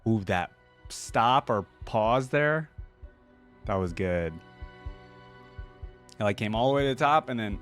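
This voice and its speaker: A man hosts a casual reaction, thinking aloud in an informal way. His commentary is loose and conversational, with music playing clearly behind him. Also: lowish voice, expressive, slow, pauses often, a bit bright. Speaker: reaction video host.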